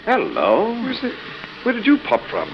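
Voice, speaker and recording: An actor playing an easily startled, chipper English adventurer with a British accent in an old radio serial. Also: male voice, high voice, huge pitch swings, normal speed, gravelly, a little hiss or hum, full character voice, low-quality recording. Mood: surprised. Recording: old radio drama recording with music.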